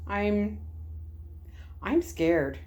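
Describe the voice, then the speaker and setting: A woman's voice: medium pitch, very animated, extremely slow, darkish tone, pitched above the performer's own voice. Lady doing a young girl in a storybook, troubled and earnest, kinda hesitant. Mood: scared, regretful.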